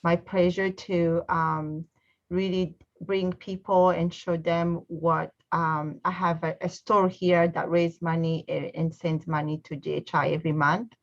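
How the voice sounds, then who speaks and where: A Rwandan woman with a notably low voice talks gratefully and haltingly, pausing often on fillers. Her audio comes through dull and lo-fi, like a video call. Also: level tone. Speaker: guest, trip partner. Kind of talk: casual recorded video message.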